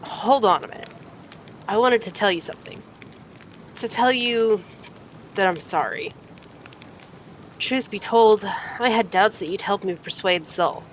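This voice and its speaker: A woman voicing a frank, earnest young horned male fighter in a fantasy game, in a measured, conversational way. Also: medium pitch, slight background noise, natural voice. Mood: earnest, apologetic. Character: earnest young horned mongrel man, frank, wry cave-dwelling fighter.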